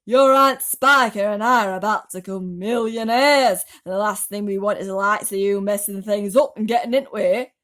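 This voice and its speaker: A woman voicing a greedy, cruel storybook aunt who yells bossily and snaps. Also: mid-range voice, normal speed, hardly any pauses, natural voice. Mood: angry scolding. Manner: yelling, snappish, bullying. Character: shrieking greedy bossy cruel aunts, middle-aged women.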